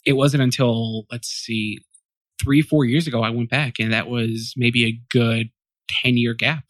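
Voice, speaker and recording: A man's voice: mid-range voice, steady tone, measured pace, fairly bright tone, no echo. A man in his twenties, a podcast guest, answering questions in a loose, rambling way, often correcting himself and thinking aloud. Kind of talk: casual interview answers.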